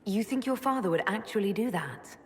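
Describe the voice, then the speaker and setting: An actress playing a composed, sympathetic female elf mage hero in a video game, speaking in a measured, restrained way. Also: mid-range voice, animated, long reverb tail, lightly acted. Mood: calm curiosity. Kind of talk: video game dialogue acting.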